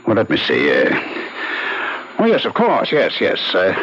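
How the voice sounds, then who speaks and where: A low, husky gentleman physician, prosperous, pompous and slippery. His courteous formal speech rises and falls theatrically, as in a vintage radio play with slightly muffled sound. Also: wildly swooping pitch, a little slow, heavily acted. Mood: flustered, placating. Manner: formal, pompous, flustered politeness. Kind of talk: old-fashioned radio drama acting. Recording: dull lo-fi radio drama recording.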